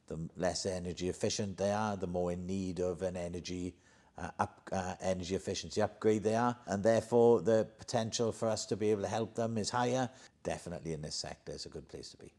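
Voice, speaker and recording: A man who hires for an energy company, talking conversationally on a podcast, often dropping into quiet asides. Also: deep voice, quick pace. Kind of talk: podcast discussion.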